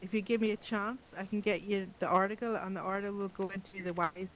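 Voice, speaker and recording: Man, high voice, even flat delivery, earnest explainer in a discussion, faint background hiss. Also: fast talker, low-quality recording. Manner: earnest, explanatory, frequent affirmations. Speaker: speaker explaining Islamic rulings. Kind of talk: discussion in a virtual chat room.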